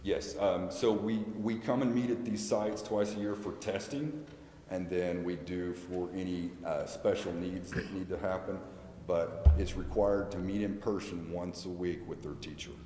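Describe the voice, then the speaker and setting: An American man answering questions at a public meeting as a school representative, explaining haltingly and repeating words. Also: deep voice, fairly even intonation, mellow. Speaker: school representative addressing a city council. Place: large indoor council chamber. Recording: echoey meeting hall with background noise.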